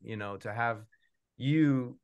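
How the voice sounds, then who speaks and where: A man's voice: medium pitch, slow, darkish tone, clean tone, lo-fi sound. Middle-aged man hosting casually. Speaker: host, puppeteer and author. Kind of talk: casual online event hosting. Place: home room on a video call.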